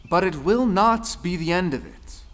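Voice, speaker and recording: An actor playing an excitable male folktale storyteller, theatrical, with dramatic, drawn-out emphasis. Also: sits high. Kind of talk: scripted theatrical folktale narration. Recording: music behind the voice. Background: music.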